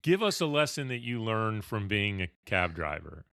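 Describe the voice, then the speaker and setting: A man's voice: fairly low pitch, big pitch swings, normal speed, sharp tone, clean tone, no echo, clean studio sound. A man co-hosting a sports business podcast, asking interview questions with a few stammering starts. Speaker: podcast co-host.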